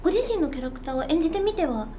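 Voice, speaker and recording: A woman's voice: high voice, animated, very fast, crowd noise. A young adult woman, a voice actor leading a casual promotional chat. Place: small indoor room.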